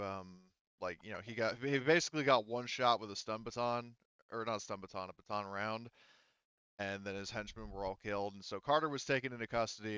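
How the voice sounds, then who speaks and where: A man running a tabletop game as game master, explaining casually in a halting, stop-and-start way. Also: lowish voice, a bit bright, clear, resonant tone, no echo, dull audio.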